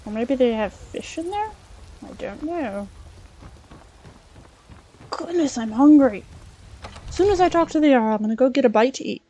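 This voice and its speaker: A woman's voice: high-pitched, lively intonation, moderate pace, pauses often, warm tone, low-quality recording. A woman playing a polite, wry scholar adventurer, musing aloud in character through a video game. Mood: curious, chatty. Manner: musing, chatty, dryly polite.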